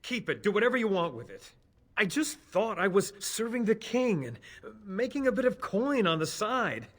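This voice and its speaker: A nervous male elf spy from a fantasy game, evasive and pleading. His voice sits fairly high, with a bright, tense edge and very animated pitch. He gets defensive and stumbles over his words. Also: moderate pace, heavily acted. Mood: frightened, pleading.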